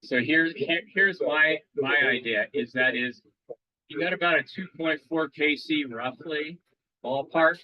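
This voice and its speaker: A man's voice: on the high side, measured pace, no echo, muffled recording. A man giving a live demo at an amateur radio club meeting, rambling with frequent restarts and quizzing the audience.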